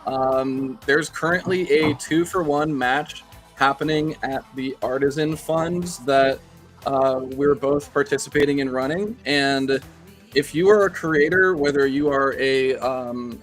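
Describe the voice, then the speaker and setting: A man's voice: medium pitch, steady tone, a little slow, soft background music. A man co-hosting a live stream, talking casually with many hesitations and fillers.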